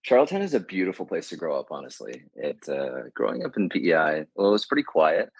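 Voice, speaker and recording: A man's voice: sits low, sing-song, quick pace, slightly rough, dry recording, muffled recording. A man in his thirties with a Canadian accent, answering interview questions in a casual, thoughtful, slightly hesitant way. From Canada, Prince Edward Island. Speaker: podcast guest, media agency CEO.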